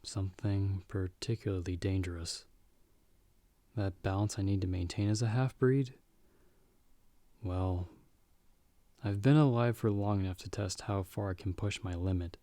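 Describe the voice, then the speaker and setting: A calm half-vampire hunter, acted by a man for an audio roleplay. His voice sits low and moves slowly, with understated, world-weary delivery. He talks like a protective mentor, in a dry, echo-free recording. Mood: reflective. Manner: measured, understated, speaking directly to a companion. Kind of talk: ASMR audio roleplay acting.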